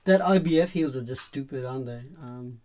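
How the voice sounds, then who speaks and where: A man's voice: medium pitch, a little fast, mellow. A man with an accent that mixes Indian and New Zealand sounds, chatting casually and chuckling with his teammates during a match. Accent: mix of Indian and New Zealand. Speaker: player on team voice chat. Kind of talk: casual gaming chat.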